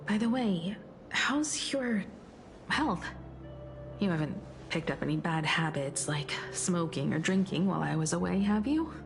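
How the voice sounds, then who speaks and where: A resolute grown daughter in a dramatic video game. Her voice sits fairly low, and she speaks with measured, heroic poise like a storyteller. Music is clearly heard behind her. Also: animated. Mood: gentle, composed.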